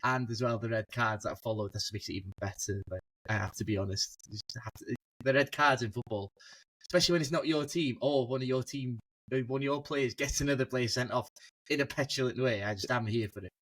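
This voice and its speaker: A man's voice: medium pitch, quick pace, a bit bright, rough recording. A young man as a guest on a casual football podcast, telling stories animatedly and laughing mid-sentence over a video call.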